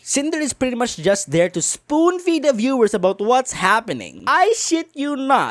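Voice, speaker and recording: A woman's voice: fairly high pitch, no room sound, studio quality. A woman narrating a scripted comedic movie review in a sarcastic, exasperated manner. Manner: sarcastic, exasperated, foul-mouthed. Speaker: video reviewer.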